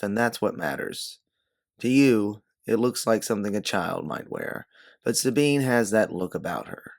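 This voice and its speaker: Low, creaky-voiced male storyteller. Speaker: narrator and author. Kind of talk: scripted second-person story narration.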